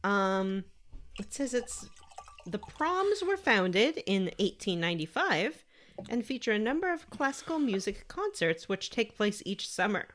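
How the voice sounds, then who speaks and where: A woman's voice: medium pitch, big pitch swings, unhurried, a bit bright. A woman co-hosting a casual podcast, chatting and reading out gaming news. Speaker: podcast co-host.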